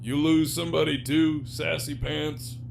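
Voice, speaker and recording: A man's voice: on the high side, little pitch movement, measured pace, vocal fry. A man acting in an audio drama as a jaded, sardonic, despairing prisoner villain with a profane, wry, teasing drawl. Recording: faint background hum and noise.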